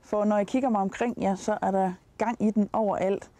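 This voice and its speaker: A woman's voice: mid-range voice, steady tone, mellow, no room sound. A Danish woman in her forties presenting practical tips to camera as a travel host. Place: outdoors at a campsite, in and beside a tent awning.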